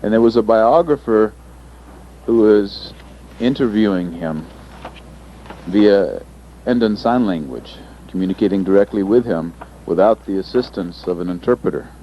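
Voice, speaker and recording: A man with a fairly low voice speaks thoughtfully, pausing often with small fillers as he presents. The recording is dull and lo-fi, with a faint hum of room ambience underneath. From United States. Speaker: interpreter presenter.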